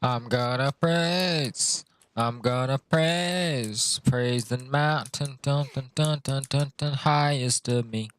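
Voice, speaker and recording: A man's voice: medium pitch, slow, a bit bright, no echo. A young man chatting casually on a game stream in a slangy, playful way, muttering asides to himself. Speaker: live streamer.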